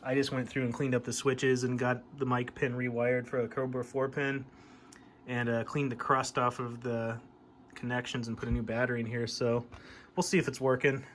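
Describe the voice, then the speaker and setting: A man's voice: medium pitch, flat delivery, moderate pace. American man rambling casually over background noise. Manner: rambling, nostalgic. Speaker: hobbyist vlogger showing a CB radio. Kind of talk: casual show-and-tell chat.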